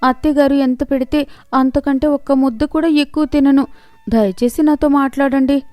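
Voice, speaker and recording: A woman's voice: sits high, fairly even intonation, normal speed, mellow, dry recording, voice pushed up, lightly acted. A woman voicing a meek young daughter-in-law in a moral story, pleading and hesitant.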